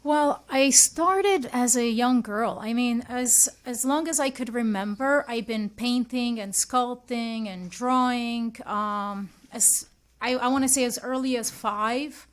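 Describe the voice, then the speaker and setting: A woman's voice: on the high side, slow. A woman from Switzerland, a guest artist, chatting casually in an interview and thinking aloud as she answers.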